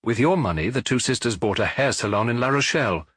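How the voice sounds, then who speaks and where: A man voicing a weary older police detective who questions calmly and firmly, with measured compassion. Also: mid-range voice, husky. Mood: matter-of-fact.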